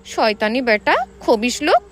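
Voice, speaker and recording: Woman acting a feisty young woman, high and animated. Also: a little slow, faint music behind. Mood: muttering, angry. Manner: protesting, defiant.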